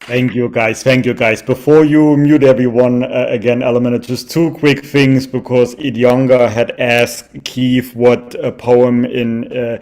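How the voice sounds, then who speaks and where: A man's voice: medium pitch, flat delivery, measured pace, hardly any pauses. Man, German as first language, grateful open mic regular on a video call. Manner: warm, grateful, rambling with fillers. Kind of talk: casual remarks on a video call.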